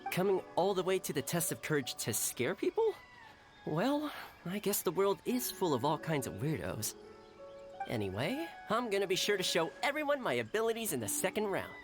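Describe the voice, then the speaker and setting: A friendly, easygoing young male retainer in a video game. His delivery is lively and polite, with clearly audible music behind him. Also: high-pitched, moderate pace. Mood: puzzled, determined. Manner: friendly, relaxed, teasing. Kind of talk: video game cutscene acting.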